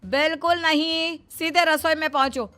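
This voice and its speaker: A woman's voice: high voice, steady tone, moderate pace, pushed and bright, voice pushed up. A woman voicing a stern, sarcastic middle-aged mother-in-law in a cartoon family comedy, commanding and scolding. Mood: angry, scolding. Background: background music.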